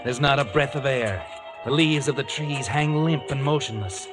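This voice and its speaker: A man's voice: mid-range voice, close to an everyday voice. Radio mystery narrator, 1940s male actor: ominous, taunting, mid-range, over prominent music. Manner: slow, dramatic, insinuating.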